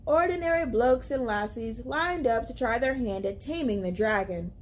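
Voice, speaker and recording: A young woman reading a children's picture book aloud as a library storyteller, with expressive, deliberate, dramatic emphasis. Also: a little high. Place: indoors, library among bookshelves.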